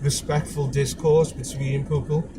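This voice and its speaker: A man's voice: mid-range voice, monotone, a little slow. A man hosting a casual interview while driving, easygoing, often ending questions with "yeah?". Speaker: taxi driver and podcast host. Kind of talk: casual interview in a moving car. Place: inside a car while driving. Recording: noisy car interior.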